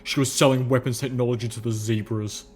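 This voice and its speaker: A man voicing a stern old ghoul soldier in armor, speaking formally and gravely with a low rumble. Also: steady tone, fairly quick, lightly acted. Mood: dangerous, angry.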